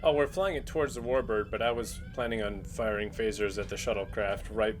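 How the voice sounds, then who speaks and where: A man's voice: fairly low pitch, steady tone, fairly quick. A man chatting casually as a player in a tabletop role-playing game. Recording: clearly noisy background.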